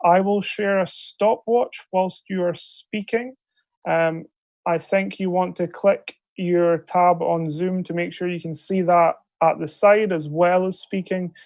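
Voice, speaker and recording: A man with a fairly high voice that keeps breaking into a gravelly fry, chairing an online debate. He hesitates politely between phrases, and the sound is dry, from a video call mic. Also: a little mellow, dry recording. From Scotland. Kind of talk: procedural briefing on a video call. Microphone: video call microphone.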